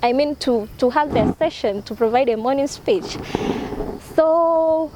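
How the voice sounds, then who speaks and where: A woman with a Tanzanian accent, a teacher coach telling a classroom story in a webinar, lively when she quotes the children. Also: high voice, unhurried. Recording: noisy call with background noise.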